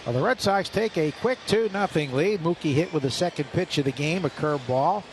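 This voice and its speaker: A man calling play-by-play baseball on radio, brisk and detailed, rising with the action. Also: fairly high pitch. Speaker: play-by-play announcer. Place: ballpark broadcast booth. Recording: radio broadcast with background noise.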